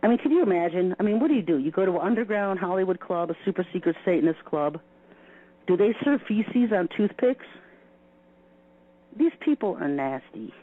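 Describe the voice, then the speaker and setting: A man's voice: high voice, expressive, a little fast. A man giving indignant commentary in an incredulous, repetitive way, full of rhetorical questions. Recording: thin phone-like radio sound.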